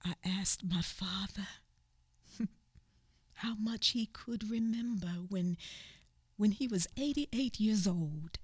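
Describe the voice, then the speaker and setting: Slow, husky woman narrating solemnly. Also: on the low side, dry recording, studio quality. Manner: slow, somber, often dropping to a whisper. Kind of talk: dramatic radio theatre narration.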